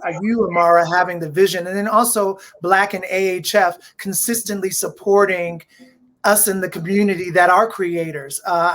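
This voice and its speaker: A moderator with a medium-pitched voice leads a panel. The delivery is conversational, like an informal fireside discussion. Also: a bit bright, a little hiss or hum.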